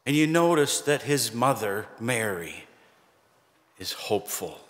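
Middle-aged pastor preaching in a reverberant church. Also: male voice, mid-range voice, sing-song. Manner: conversational preaching with gentle humor, pauses for emphasis. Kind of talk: sermon. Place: church sanctuary, standing at a pulpit. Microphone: pulpit microphone, far camera.

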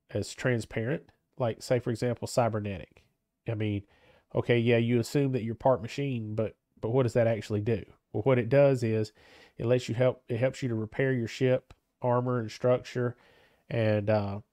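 A man's voice: medium pitch, mellow, dry recording, clean studio sound. A man with a cold and a stuffed-up voice, giving casual, rambling commentary as he plays a computer game, often hesitating and restarting his sentences. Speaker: gaming channel host. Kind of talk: casual let's-play commentary.

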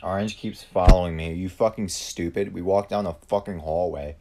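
A man's voice: sits low, fairly even intonation, warm tone. A man giving live gameplay commentary, blunt and profane, ranting at the other players. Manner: profane, blunt, quick to rant. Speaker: gamer streamer.

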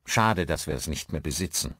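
A man's voice: a bass voice, lively intonation, brisk, fairly bright tone, breathy edge, dry recording, clean studio sound, pitched below the performer's own voice, exaggerated voice. A man voicing a friendly, married village pastor in an audiobook, courteous and talkative. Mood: chatty.

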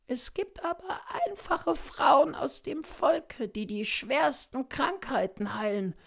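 Man voicing a plaintive dying gentlewoman, pitched high. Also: expressive, slow pace, creaky, full character voice. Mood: reproachful. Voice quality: weak, plaintive. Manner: fervent, dramatic lamenting. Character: dying gentlewoman, pious, self-pitying, fretful and reproachful.

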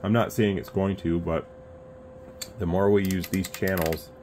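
Man, very deep voice, conversational and earnest reviewer, audible background noise. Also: measured pace. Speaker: YouTube radio reviewer. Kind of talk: casual rambling opinion talk to camera. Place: indoor radio workbench room. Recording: clear steady background room noise.

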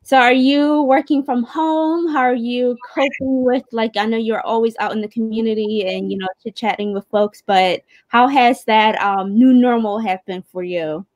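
A woman's voice: on the high side, fairly even intonation, normal speed, hardly any pauses, darkish tone, dry recording. A woman casually co-hosting a livestream over a video call. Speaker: livestream co-host. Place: indoor room at home, on video call. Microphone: video call device.

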